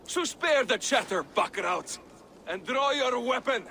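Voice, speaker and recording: An actor playing a hot-headed, bloodthirsty Norse warrior in a video game drama, impatient and aggressive. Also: male voice, very high voice, expressive, measured pace, creaky, sharp tone, a little hiss or hum, heavily acted. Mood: goading.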